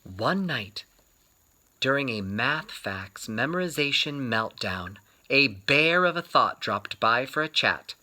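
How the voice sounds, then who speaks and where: Man, children's story reader, very animated intonation, bright tone, theatrical phrasing, dramatic pauses. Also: medium pitch, rough recording. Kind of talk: expressive read-aloud storytelling.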